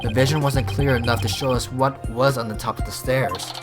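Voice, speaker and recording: A player reading the part of an earnest young defense lawyer who pleads with his client. The pitch stays ordinary and the tone sincere. Clear game music sits behind the voice. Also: steady tone, a little fast, close to an everyday voice. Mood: thoughtful. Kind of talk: reading game dialogue aloud.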